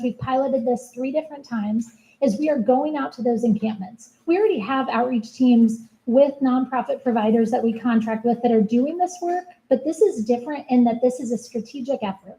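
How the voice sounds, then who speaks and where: A woman giving a soft-spoken, earnest presentation as a city program manager at a town hall meeting. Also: on the high side. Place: community meeting room.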